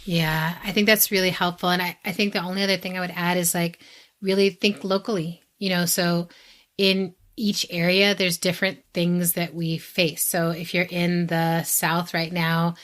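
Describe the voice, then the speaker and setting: Low-voiced woman chatting evenly, giving advice. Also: flat delivery, normal speed, a bit bright. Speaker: podcast guest giving advice. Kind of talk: casual conversational advice.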